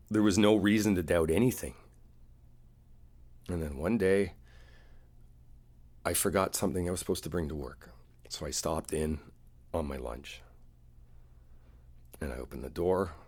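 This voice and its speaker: Very deep-voiced, slightly husky boyfriend played by a man. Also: darkish tone. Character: wounded, devoted boyfriend, earnest and reassuring. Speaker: audio roleplay performer. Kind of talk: boyfriend ASMR roleplay, intimate one-sided dialogue.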